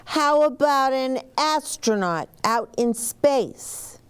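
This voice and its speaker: A woman acting out a small storybook child, high and lilting in pitch. The child is earnest, anxious and full of questions, with a lively, singsong rise and fall. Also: slow, clear, resonant tone. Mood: curious, wondering. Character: curious, anxious young twin children, boy and girl.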